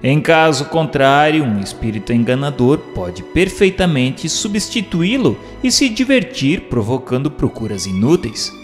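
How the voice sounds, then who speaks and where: A man reading a script as narrator and questioner, in a formal, declamatory way. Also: mid-range voice. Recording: long hall reverb. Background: faint music.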